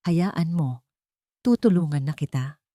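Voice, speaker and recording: A woman's voice: deep voice, expressive, measured pace, dark tone, dry recording. Woman as male company heir, Filipino accent, scheming, falsely solicitous, audio drama villain, dry sound. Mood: falsely helpful.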